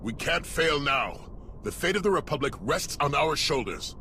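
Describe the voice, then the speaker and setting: An actor playing a stern, resolute supreme military commander in a video game, grave and authoritative in delivery. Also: male voice, fairly high pitch, big pitch swings, normal speed, gravelly, sharp tone, a little hiss or hum, heavily acted. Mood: urgent. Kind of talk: video game cutscene acting.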